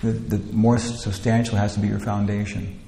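A man gives a loose, rambling talk on scripture, leaning on fillers and starting phrases over. His voice has a gravelly edge. The sound is roomy, with a long hall echo. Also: on the low side, flat delivery, moderate pace, warm tone. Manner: rambling, many "you know" fillers and restarts. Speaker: teacher leading a scripture class. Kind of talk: informal spiritual lecture.

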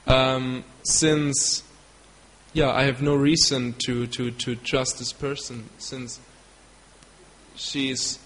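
A man from Germany with a German accent, a devotee giving a storytelling talk. He speaks haltingly and stops to search for English words. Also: medium pitch, flat delivery, a bit bright, slight background noise. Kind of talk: storytelling lecture. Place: hall in Belgium. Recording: long hall reverb with faint ambience.

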